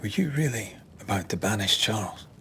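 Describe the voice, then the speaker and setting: A man playing a rugged, soft-hearted ghost hunter in a video game drama, gentle and earnest. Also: on the low side, lively intonation, fairly quick, gravelly, slight background noise, exaggerated voice. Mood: sombre.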